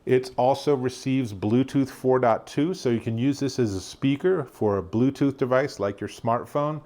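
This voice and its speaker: A man's voice: medium pitch, normal speed, warm tone. A man giving a casual, conversational product demonstration as an enthusiastic tech reviewer.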